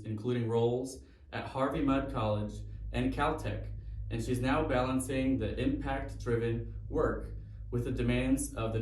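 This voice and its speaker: A man's voice: medium pitch, darkish tone, far from the mic, a little hiss or hum. A man hosting a podcast, giving a formal, admiring introduction and asking interview questions. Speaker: podcast host. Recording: echoey room, voice from off mic.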